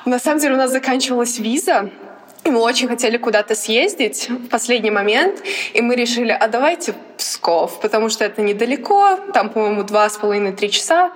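A woman's voice: fairly high pitch, expressive, a little fast, big-room sound. A young woman from Latvia, a guest athlete, chatting casually and laughing often in an interview. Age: young adult.

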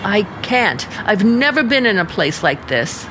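An actress playing an earnest, troubled young niece from a small town in a radio play, wounded and pleading. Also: female voice, medium pitch, sing-song, measured pace, music in the background. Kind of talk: dramatic radio play acting.

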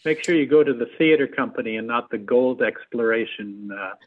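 A man's voice: mid-range voice, brisk. A man talking as a guest in a conversational interview, on thin, phone-like call audio. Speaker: interview guest, theater adapter.

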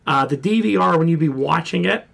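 A man hosting a casual pop culture podcast, hoarse and froggy from a sore throat, joking and rambling. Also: a little high, lively intonation, fairly quick, fairly bright tone. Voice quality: froggy, gravelly. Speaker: podcast host.

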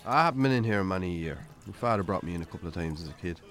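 An actor playing a weary, defensive middle-aged Irish farmer who drinks too much, curt and evasive, in naturalistic radio drama. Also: male voice, low-pitched, expressive, rapid-fire, background noise.

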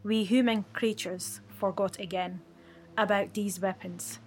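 A woman's voice: mid-range voice, fairly even intonation, measured pace, husky. A woman playing one of a chorus of poets, reciting short declarative lines in a radio play. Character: female inhabitant in a chorus of corridor poets.

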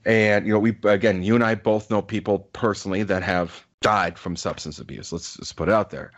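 A man's voice: on the low side, fairly even intonation, brisk, lo-fi sound. A man in his forties co-hosting a podcast, talking in an earnest, reflective way with plenty of fillers. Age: 40s. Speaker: podcast co-host. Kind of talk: casual podcast chat.

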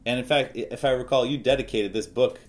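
A man's voice: medium pitch, steady tone, quick pace. A man hosting a podcast, chatting casually as he interviews a guest.

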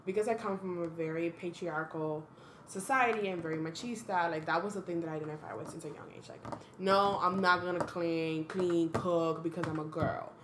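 A woman's voice: fairly low pitch, steady tone, moderate pace, noisy background. Thoughtful woman from the Dominican Republic, echoey. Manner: thoughtful, earnest, frank, with sudden blunt humor. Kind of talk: reflective casual chat.

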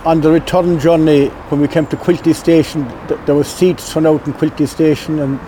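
A man in his seventies with a County Clare accent, recalling his youth in a relaxed, chuckling way as he is interviewed. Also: on the high side, fairly quick, slight background noise, poor audio. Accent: Irish, County Clare. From Ireland. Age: 70s. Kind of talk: reminiscing, casual storytelling.

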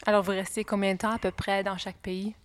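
A woman hosting an interview, polite and a little hesitant, with frequent filler pauses as she introduces her guest and asks questions. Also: medium pitch, flat delivery.

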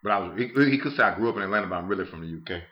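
A man from Louisiana chatting casually on a podcast, haltingly repeating his opening words as he makes a point. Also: low voice, animated, lo-fi sound. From Louisiana, United States.

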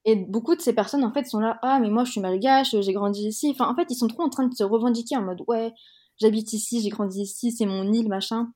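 Mellow-voiced young woman, frank and heated. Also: on the high side, quick pace. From Madagascar. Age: young adult. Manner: hesitant, many restarts and fillers. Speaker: interviewee. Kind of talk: casual conversation.

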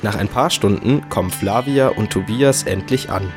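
A man's voice: lowish voice, normal speed, music in the background. A man narrating a scripted children's story as the storyteller of a radio play.

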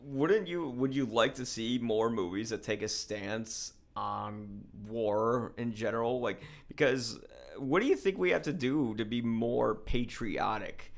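A man's voice: mid-range voice, expressive, a little slow, creaky, few pauses. A middle-aged man with an American accent, chatting informally and bluntly as an interviewer, rambling a little. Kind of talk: casual opinionated conversation.

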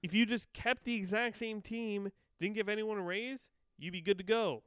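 A Canadian man co-hosting a podcast, talking in a rambling, profane, animated way. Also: high-pitched, moderate pace, clear, resonant tone, no room sound, clean studio sound. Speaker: podcast co-host. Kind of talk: casual chat.

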